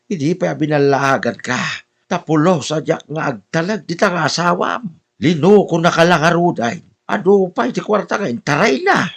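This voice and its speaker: A man's voice: on the high side, animated, normal speed, gravelly. A man playing a stern, worried father in a radio drama, with an Ilocano accent and a probing, reproachful manner. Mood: dismayed, scolding. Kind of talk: Filipino podcast radio drama acting.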